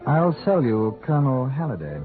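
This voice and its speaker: Actor as a sly, lively-pitched crook, tinny radio. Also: male voice, moderate pace, close to an everyday voice. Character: sly, pushy small-time male crook offering a deal. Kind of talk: 1940s radio drama acting. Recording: tinny old radio, music behind.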